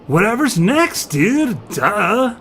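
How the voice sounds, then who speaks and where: An excitable young surfer dude from space in an audio fiction story. The voice sits high and swings widely, with drawn-out emphasis and exclamatory slang. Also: male voice, slight background noise, full character voice. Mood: proud, cocky. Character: excitable young alien surfer dude, slug in human guise. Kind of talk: audio fiction character acting.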